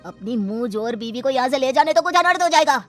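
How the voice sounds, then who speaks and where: A woman's voice: high-pitched, animated, fast, faint music behind. A woman voicing a haughty, intolerant male elder who scolds and commands, in a cartoon moral story. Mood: angry scorn.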